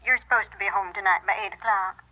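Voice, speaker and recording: An actress playing a mild, gently nagging mother on the telephone in an old radio drama, homely and patient. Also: mid-range voice, steady tone, fast talker, lo-fi sound. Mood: gently insistent.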